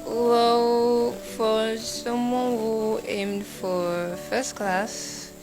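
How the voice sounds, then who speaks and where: A young Nigerian woman, a university student, talking casually in an interview and acting out shouted quotes. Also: on the high side, very slow, over music, rough recording. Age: young adult. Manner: animated, acts out shouted quotes.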